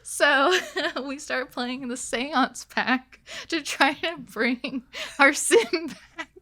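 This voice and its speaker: Bright, high-voiced young woman chatting. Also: very slow. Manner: giggly, laughs through her words. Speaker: podcast guest. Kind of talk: casual chat, storytelling.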